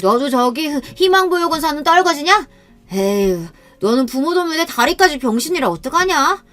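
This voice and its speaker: A woman's voice: high voice, very animated, normal speed, a bit bright, faint music behind, pitched above the performer's own voice. A woman voicing a mean young boy who taunts and jeers in a raised voice. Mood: taunting. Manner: jeering, taunting. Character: mocking bully boy, mean and rude.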